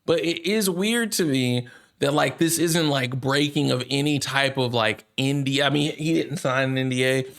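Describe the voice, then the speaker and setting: A man giving casual, off-the-cuff gaming news commentary as a host, rambling and halting as he goes. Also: medium pitch, a bit bright.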